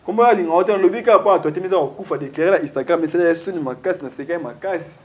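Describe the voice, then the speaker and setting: A man chatting as a guest, rambling and animated with lots of fillers, switching into Lingala. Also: sits high, fast. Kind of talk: casual chat mixing French and Lingala.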